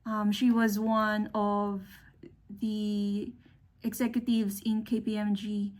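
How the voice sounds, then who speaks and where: A woman's voice: mid-range voice, level tone, slow pace, a little mellow. A middle-aged woman from the Philippines, whose first language is not English, giving an earnest, motivational talk to young engineers, with fillers and restarts. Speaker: speaker.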